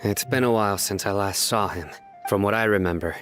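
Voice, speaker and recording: Guy playing a stern quiet lawman boss, lowish voice, anime game, bit of music behind. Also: fairly quick, close to an everyday voice. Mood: somber, reflective.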